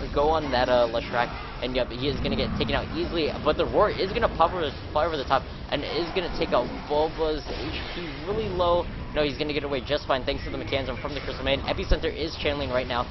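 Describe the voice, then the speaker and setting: Male game caster, nasal and a bit congested, loose filler-heavy delivery that spikes in fights. Mid pitch, muffled home-mic sound with steady hiss. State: congested, a little sick. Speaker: esports caster. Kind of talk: live game commentary.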